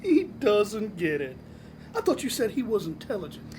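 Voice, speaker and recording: A woman's voice: medium pitch, wildly swooping pitch, normal speed, noisy background. A woman playing a gleefully evil snowman in an audio drama, gloating with theatrical mock sweetness. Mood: smug mockery.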